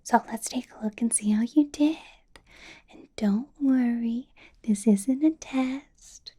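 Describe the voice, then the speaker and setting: A possessive female vampire boss, played by a woman in an ASMR roleplay, whispering slowly and very breathily. She coaxes with condescending endearments, doting and controlling, with a sweet menace under the soft, mellow tone. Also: fairly high pitch, husky. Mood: coaxing, controlling. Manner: slow, coaxing, condescending endearments.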